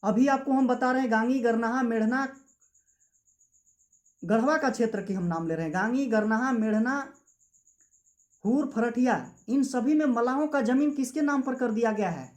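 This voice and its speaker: A man's voice: extremely high pitch, moderate pace, pauses often. A young man with a Jharkhand Hindi accent giving an impassioned political speech as a student activist, with repetitive rhetorical phrasing. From Jharkhand, India. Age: young adult.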